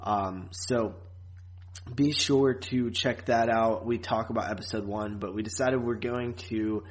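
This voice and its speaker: A man's voice: on the low side, fairly even intonation, a little slow. A man co-hosting a podcast in a casual, informal review chat, with a joking, teasing manner. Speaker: podcast co-host.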